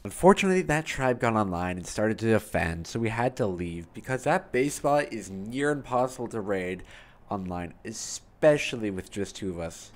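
Excitable young man narrating gameplay. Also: lowish voice, moderate pace. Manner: excitable, laughs often. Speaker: gaming YouTuber.

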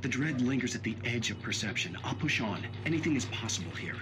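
A man's voice: mid-range voice, expressive, fast talker, noisy background, lightly acted. An actor voicing a terse, brooding male writer who narrates a video game. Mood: brooding narration. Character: weary troubled male novelist. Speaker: protagonist and narrator.

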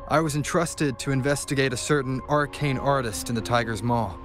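A man playing a composed, courteous young investigator in a video game, giving a formal, measured account. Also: mid-range voice, natural voice. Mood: calm, formal. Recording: background music under the voice.

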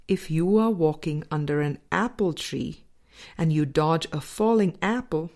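A woman narrates a spiritual audiobook in a slightly low voice. She reads slowly, setting out each phrase deliberately and leaving measured pauses between them. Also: darkish tone. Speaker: audiobook reader.

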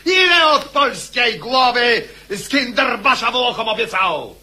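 An actor playing a furious, jeering rebellious soldier in a radio drama, shouting and sneering archaic lines. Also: male voice, very high voice, sing-song, takes it slowly, slightly rough, heavily acted.

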